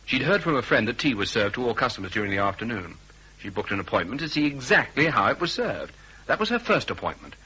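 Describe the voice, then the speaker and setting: An actor playing a calm, shrewd male detective in a classic radio drama, explaining things in a measured, authoritative way. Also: mid-range voice, husky, full character voice, poor audio. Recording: old radio drama recording.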